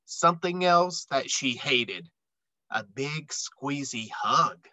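Male storyteller, middle-aged, slow and animated, emphatic delivery for young children. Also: mid-range voice, dry recording. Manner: emphatic, dramatic phrasing with deliberate pauses. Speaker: read-aloud storyteller. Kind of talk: expressive children's picture book read-aloud.

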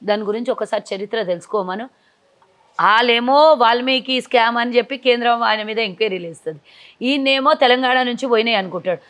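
A forceful woman politician fires back rhetorical questions at a fast clip, her voice sitting on the higher side. She speaks outdoors into a lapel mic. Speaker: party politician. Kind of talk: combative interview answers.

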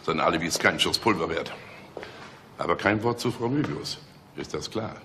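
An actor playing a bossy older chief inspector in a radio play, dry and sarcastic. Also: male voice, low voice, animated, moderate pace, background noise. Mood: sardonic, commanding. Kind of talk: German radio play acting.

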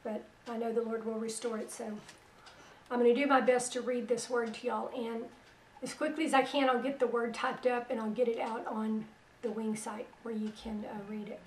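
A middle-aged woman hosting religious internet radio. Her voice is weak after a stroke, and she talks in a soft, plain-spoken, unhurried way. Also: on the high side, flat delivery, reverberant, recorded from a distance, a little hiss or hum, poor audio. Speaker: radio host. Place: small room at home, indoors. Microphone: headset.

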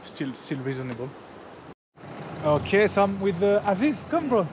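A man's voice: sits high, very animated, moderate pace, noisy background. A man in his thirties hosting a casual travel vlog, chatting with locals and the camera outdoors and in a small restaurant. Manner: friendly, chatty, many fillers and "guys" to camera. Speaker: motovlogger host. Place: outdoors in a mountain village and a small roadside restaurant.

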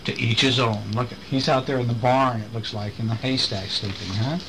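A middle-aged man reading a picture storybook aloud to a child in an expressive way, with chatty asides and questions. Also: mid-range voice, normal speed, low-quality recording. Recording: home recording with faint background noise.

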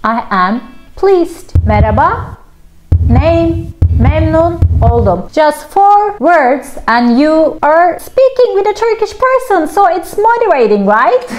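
A woman in her thirties teaching a casual video lesson at home, animated and playful with lots of gestures. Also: very high voice, slow, over music. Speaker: language teacher. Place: home room with plants.

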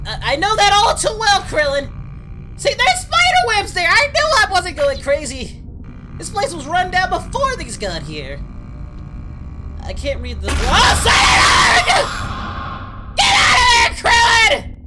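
A boastful cartoon anime hero with a very animated, high voice. He is whiny and frantic, stammering and tipping into shrieks as he hosts a comedic reaction video. Music plays behind him. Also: measured pace, raised voice, exaggerated voice. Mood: alarmed shouting. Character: cartoon anime hero, jumpy scaredy-cat, boastful yet panicky.

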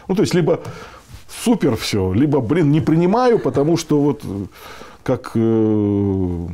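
Mellow low-voiced middle-aged man. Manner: halting, thinking aloud. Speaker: interviewee. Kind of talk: casual interview talk. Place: furnished meeting room indoors.

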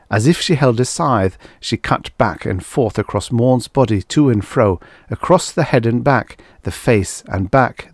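A man reading literary science fiction as an audiobook narrator, with measured, expressive delivery and deliberate phrasing. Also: on the low side, no room sound, studio quality.

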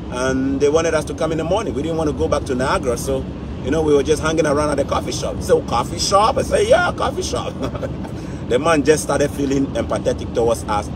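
Man telling a story while driving. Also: a little high. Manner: animated, acts out dialogue in quoted voices. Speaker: vlogger. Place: car on a snowy highway. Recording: clear car road noise. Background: road and engine noise.

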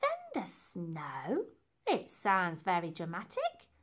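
A woman's voice: lowish voice, wildly swooping pitch, slow pace, a little hiss or hum, exaggerated voice. A woman playing a scatty, swooping cleaning lady. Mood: anxious, wistful. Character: scatty, dramatic cleaning lady, chatty and kind-hearted.

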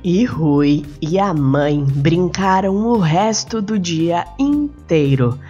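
A woman's voice: on the low side, animated, takes it slowly, dark tone, breathy edge, highs cut off. A Brazilian woman hosts a children's bedtime story podcast. She narrates and comments in a chatty storyteller's way, with music behind her.

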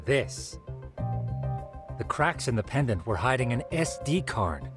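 An actor playing a geeky, composed young tech expert in a video game, explaining things in a measured way. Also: male voice, medium pitch, very animated, over music. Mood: explanatory. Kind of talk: video game cutscene dialogue.

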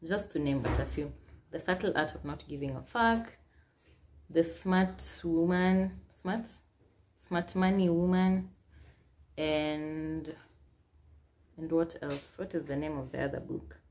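A woman's voice: sits low, steady tone, takes it slowly, pauses often, darkish tone. A young Kenyan woman in her twenties, with a Kenyan accent, casually reading out get-to-know-me questions and answering them, with Swahili phrases mixed in. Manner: chatty, reads questions aloud then answers, mixes in Swahili phrases. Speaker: YouTuber. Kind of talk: casual Q&A vlog. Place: small indoor room, seated at a plain wall.

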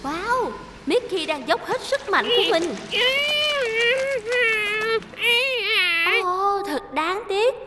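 An enthusiastic contest presenter in a kids' cartoon. Her voice is extremely high and swoops a lot, with music playing behind. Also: expressive, a little slow. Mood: announcing. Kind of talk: dubbed children's cartoon acting.

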